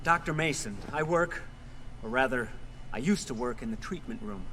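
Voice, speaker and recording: An actor playing an uneasy research doctor in a video game, explaining in a formal, measured, precise way. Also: male voice, medium pitch, expressive, bright, forceful tone, slight background noise. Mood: grave, explanatory.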